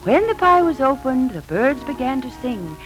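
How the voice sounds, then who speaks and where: An old radio recording with some music features a gentle young wife and mother. She speaks tenderly and hopefully, with a very lively melodic range and polished 1940s drama delivery. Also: high voice, normal speed, rough recording. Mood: storytelling. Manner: tender, expressive, coaxing.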